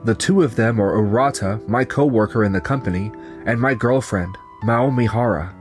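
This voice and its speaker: A man's voice: lowish voice, normal speed, lightly acted. A man voicing young company men in a manga dub, with narration, over background music. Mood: calm, resigned. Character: betrayed young company founder, calm and resigned, and his coworkers. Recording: music under the voice.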